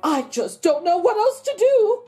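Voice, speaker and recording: Storybook dog owner, woman putting on a fretful lady, plaintive, very high, animated. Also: a little slow, faint music behind, exaggerated voice. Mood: exasperated fretting. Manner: plaintive, exasperated. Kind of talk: children's storybook read-aloud.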